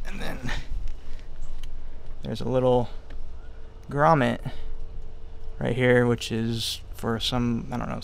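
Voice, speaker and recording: A man with a medium-pitched, gravelly, creaky voice walks through a do-it-yourself job. He talks casually and a bit haltingly, thinking aloud as he explains each step in an offhand way. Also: slight background noise. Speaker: DIY installer giving a how-to. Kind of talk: casual unscripted tutorial narration.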